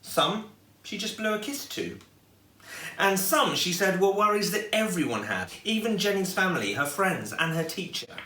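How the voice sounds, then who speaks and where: A man reading a children's story aloud in an expressive storytelling style. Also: high voice, normal speed. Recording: echoey room, distant microphone.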